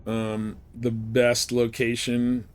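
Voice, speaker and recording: A man's voice: medium pitch, completely flat delivery, slow pace, slight background noise. A man live-streaming a game, giving casual commentary and advice in a rambling, informal way.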